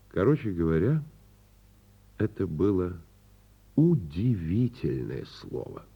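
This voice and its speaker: A man with a husky voice narrates a children's fairy tale as a radio play, theatrically and unhurriedly, drawing out words. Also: sits low. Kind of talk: expressive radio storytelling for children. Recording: muffled lo-fi radio recording. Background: music.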